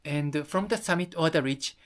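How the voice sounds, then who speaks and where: Halting Japanese-accented explainer voice. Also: medium pitch. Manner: halting, often repeats and rephrases phrases. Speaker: presenter of an explainer video. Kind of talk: explanatory talk to camera audience.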